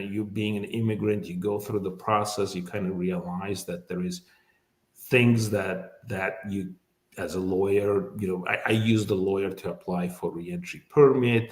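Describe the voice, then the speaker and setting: A man's voice: fairly low pitch, reverberant. A middle-aged man from Azerbaijan, native speaker of Azerbaijani, telling his story in a casual interview, thoughtful, with many fillers and restarts. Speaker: immigration lawyer, guest.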